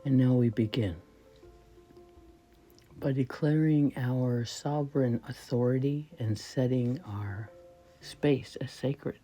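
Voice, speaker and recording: A woman leading a guided meditation in a soft, breathy voice that often falls toward a whisper, speaking reverently in short, measured phrases. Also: very deep voice, faint music behind. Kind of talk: slow spoken guided meditation.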